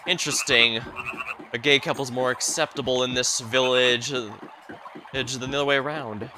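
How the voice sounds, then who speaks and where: A man's voice: medium pitch, fairly even intonation, normal speed, noisy background. A man giving live playthrough commentary on a video game, musing hesitantly and wandering into asides as he streams.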